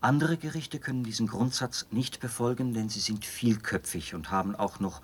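An actor playing a zealous young penal colony officer and judge in a German radio drama, explaining in precise, formal standard German with a husky voice. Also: male voice, medium pitch, normal speed, lightly acted. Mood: cold, self-assured.

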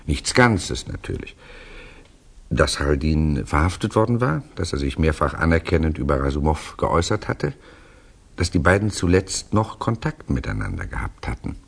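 A man playing a knowing, reflective storyteller in a German radio play, explaining patiently and deliberately in conversation. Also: extremely low pitch, big pitch swings, gravelly, slightly rough, heavily acted. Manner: deliberate, ruminative, gently correcting.

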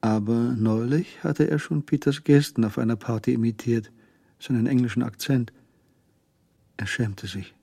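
A man narrating a crime radio drama in a sober, literary manner with measured, clipped phrasing. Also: mid-range voice, fairly even intonation, creaky, mellow, no echo. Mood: reflective.

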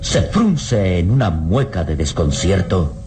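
A male narrator with a fairly low, highly expressive voice tells a radio serial. His phrasing is solemn and suspenseful, with loud music underneath. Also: slow. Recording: old radio drama with prominent music behind.

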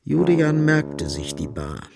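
A man's voice: on the high side, big pitch swings, takes it slowly, creaky. A man narrating a scripted literary radio drama as storyteller.

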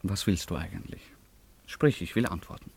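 A man's voice: low-pitched, normal speed, vocal fry, darkish tone, breathy edge, dry recording, heavily acted. A man acting a proud, guarded young nobleman in a radio play, with a wry and evasive manner. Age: young adult.

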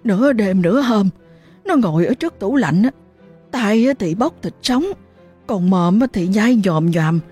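A woman's voice: mid-range voice, lively intonation, a little slow, mellow, husky, faint music behind. A woman voicing a terrified mother, pleading and stammering in panic. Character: frantic mother, terrified and desperate.

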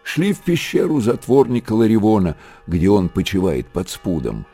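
Husky, lively male storyteller. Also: fairly low pitch, normal speed, soft background music, full character voice. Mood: lyrical. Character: male storyteller of an old monastery tale. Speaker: narrator. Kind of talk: literary radio-drama narration.

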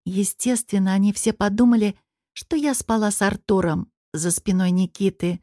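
A woman reading first-person fiction as an expressive audiobook narrator. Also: medium pitch, moderate pace, no echo, studio quality.

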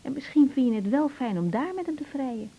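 A woman's voice: high voice, animated, fairly quick, dull audio, slight background noise. An actress playing a frank, sensible young woman doctor in a naturalistic radio drama, talking in a conversational, questioning way. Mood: frank, encouraging. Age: young adult.

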